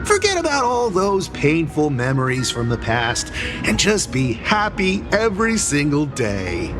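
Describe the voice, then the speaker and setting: A man's voice: high-pitched, exaggerated voice. A man voicing an over-cheerful, gushing townsman in a video game, with effusive salesman patter. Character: gushing, over-cheerful male street vendor townsman devoted to his idol. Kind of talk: animated video game voice acting.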